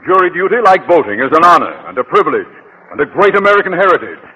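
Pompous, blustering school principal. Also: male voice, fairly high pitch, very animated, fast, bright, forceful tone, highs cut off, heavily acted. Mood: pompous, indignant. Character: pompous, blustering middle-aged male school principal, irritable and sarcastic. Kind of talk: 1950s radio sitcom acting. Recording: old radio recording, clear hiss.

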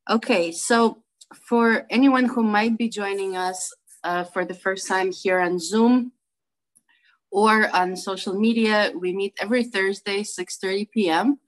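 A woman co-hosts a video call meetup. Her voice sits at an ordinary pitch, and she talks informally with fillers and restarts, keeping things friendly. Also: steady tone, a little slow, rough recording. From Brooklyn, New York. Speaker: co-host.

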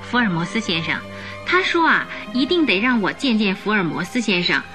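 A fussy landlady, acted by a woman in a radio play. She chats expressively in a medium-pitched voice that moves up and down a lot. Faint music plays behind her. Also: normal speed, natural voice. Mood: chatty, fussy. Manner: chatty, expressive. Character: chatty, fussy landlady reporting on visitors. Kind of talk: radio drama acting.

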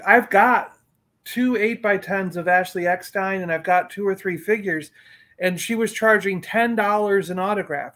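A man's voice: high-pitched, a bit bright. A middle-aged man in casual chat, talking in a rambling, emphatic way and repeating himself. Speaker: collector and panelist on a fan livestream.

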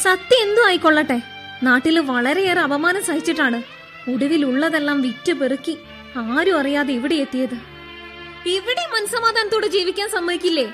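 A very high, young female voice for a radio drama. She is a hard-headed younger sister, defensive and cold, who reproaches in a practical, unsparing way. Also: expressive, moderate pace, fairly bright tone, soft background music. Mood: reproachful. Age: young adult. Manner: reproachful, pragmatic.

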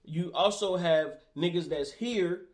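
A man's voice: high voice, takes it slowly. A man in casual, profane conversation, arguing his point with laughs and repeated restarts. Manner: profane, argumentative, laughs often. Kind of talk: casual debate chat.

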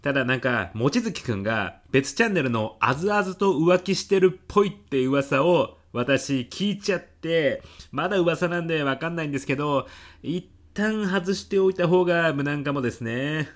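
A man's voice: moderate pace, natural voice. A man acts out a middle-aged male office manager in a comedic radio drama. He speaks in polite business language with an earnest, theatrical delivery. His presenting style borrows the girlish manner of a young woman. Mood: earnest, chatty. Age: 50s. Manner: polite business speech, theatrically expressive pitching. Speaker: ad agency department manager giving a presentation.

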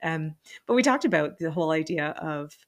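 A woman's voice: deep voice, lively intonation, a little fast. A woman in her late forties hosting her own podcast, talking candidly and with self-deprecating humor, laughing often. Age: 40s. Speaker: podcast host. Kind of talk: personal solo podcast monologue.

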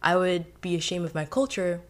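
Young woman from Haiti, podcast guest, lower voice, candid and easygoing with frequent laughs. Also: lively intonation, moderate pace. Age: 20s. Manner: candid, laughs often, many "like" fillers. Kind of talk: casual personal story.

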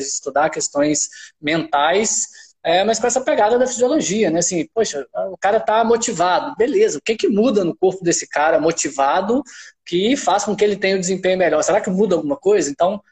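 A man from Minas Gerais with a Minas Gerais accent, chatting as a researcher guest on a podcast, talking freely with lots of tag questions. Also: a little high. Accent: Minas Gerais Brazilian Portuguese. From Minas Gerais, Brazil. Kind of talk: casual conversational podcast.

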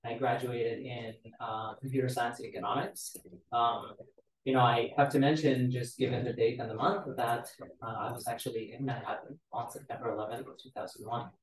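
A man, telling his life story in a relaxed way on a podcast. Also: medium pitch, a little fast. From Israel. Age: 40s. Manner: relaxed, storytelling, many "uh" fillers. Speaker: guest. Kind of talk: casual podcast interview. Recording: roomy, distant mic with faint noise.

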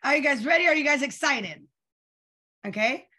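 A young woman leading a live online coaching session, energetic and asking her audience questions, her voice a little tired and worn. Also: high voice, normal speed. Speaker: coach leading a weekly training call.

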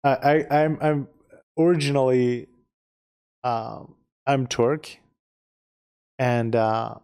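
A middle-aged man from Iran speaks with a gravelly edge. He talks casually and haltingly, often repeating words as he searches for the right phrasing. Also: mid-range voice, a little mellow. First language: Persian. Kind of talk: casual conversation.

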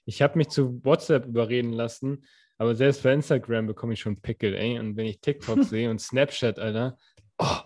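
A man's voice: medium pitch, steady tone, a little fast, darkish tone. A young man co-hosting a podcast, talking in a loose, rambling way with many fillers and restarts. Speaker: podcast co-host. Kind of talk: casual chat.

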